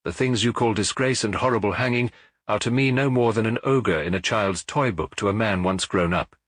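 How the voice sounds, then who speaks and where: A man's voice: lowish voice, moderate pace, a bit bright, no room sound. A man voicing a proud, scornful sun-cult prophet in a lofty, oratorical manner for an audiobook story. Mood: proud, defiant.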